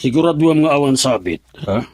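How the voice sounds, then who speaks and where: Man playing a husky, animated Filipino father. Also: medium pitch, brisk, no room sound, close to an everyday voice. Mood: wary, businesslike. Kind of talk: Ilocano radio drama acting.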